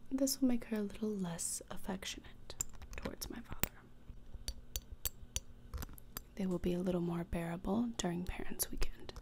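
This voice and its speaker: A young woman playing a morbid, deadpan teenage schoolgirl in a whispered ASMR roleplay, speaking deliberately and cuttingly, close to the microphone. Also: medium pitch, noisy background, close to an everyday voice. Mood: coolly scheming. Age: young adult. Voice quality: breathy whisper with fry. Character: morbid, deadpan, disdainful teenage schoolgirl who relishes torture. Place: small indoor room, plain backdrop. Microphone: close desktop microphone.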